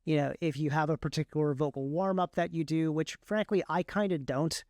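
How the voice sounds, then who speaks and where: A man's voice: a little high, steady tone, a little fast, darkish tone, dry recording, clean studio sound. A man, a guest on the show who writes and narrates audiobooks, talking casually and explaining his process step by step. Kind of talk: casual interview talk.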